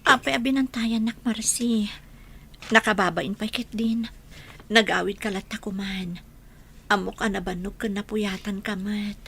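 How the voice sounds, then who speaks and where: A woman playing a weary, grieving older woman in a Philippine radio drama, grateful and soft-spoken, half whispering. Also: medium pitch, fairly even intonation, a little slow, gravelly. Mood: apologetic, weary. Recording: faint background noise.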